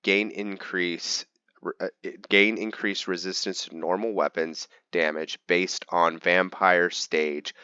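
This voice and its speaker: A man's voice: deep voice, pushed and bright, clear, resonant tone, dry recording. A man giving casual gameplay commentary as a host, rambling as he explains things step by step. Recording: dull lo-fi mic with faint noise.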